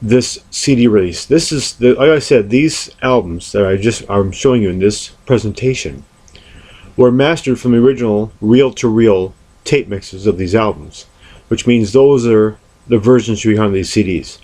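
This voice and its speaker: Low-voiced man, halting and deliberate. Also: mellow. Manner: halting, deliberate, repeats words and phrases. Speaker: music collector presenting albums. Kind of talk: unscripted show-and-tell review.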